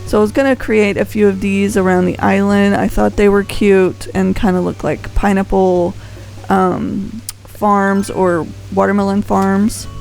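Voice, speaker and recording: A woman's voice: medium pitch, level tone, a little slow, vocal fry, darkish tone, soft background music, rough recording. A woman giving casual, unscripted gameplay commentary as a video host, rambling conversationally and thinking aloud. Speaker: video host showing a game island.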